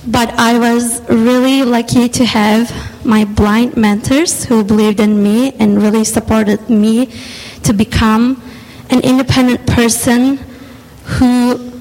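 Woman giving a convention speech, slow and earnest, kinda high voice. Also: darkish tone, poor audio. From Turkey. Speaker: scholarship finalist.

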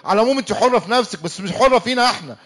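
An easygoing young husband with an Egyptian accent, played by a man in a radio drama who keeps protesting his innocence in exasperation. He talks very fast in a fairly high voice. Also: expressive, fairly bright tone. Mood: exasperated. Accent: Egyptian Arabic. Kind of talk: church radio comedy-drama acting.

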